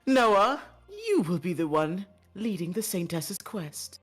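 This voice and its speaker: Casting: woman as haughty game empress, commanding mother, lowish sweeping voice, music behind. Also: expressive, slow, husky, exaggerated voice. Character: imperious empress and domineering mother. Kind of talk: visual novel voice-over read aloud.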